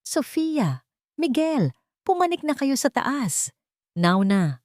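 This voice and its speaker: Lady narrator doing a snooty rich sister, bossy and scornful, very animated, dry close sound. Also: sits high, slow pace, no room sound, pitched above the performer's own voice, full character voice. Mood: curt commanding. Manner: contemptuous, commanding. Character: haughty, sharp-tongued rich woman, sister of the master.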